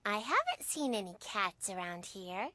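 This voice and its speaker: A woman's voice: mid-range voice, big pitch swings, moderate pace, pushed and bright, no room sound. A woman voicing an affectionate, playful young girl in a video game, casual and teasing. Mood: nonchalant. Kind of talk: English video game dub acting.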